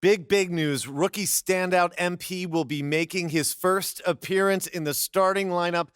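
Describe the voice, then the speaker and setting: An actor playing an opinionated basketball pundit giving sports debate commentary in a video game. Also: male voice, sits high, steady tone, moderate pace, fairly bright tone, clean tone, no echo, clean studio sound. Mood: emphatic.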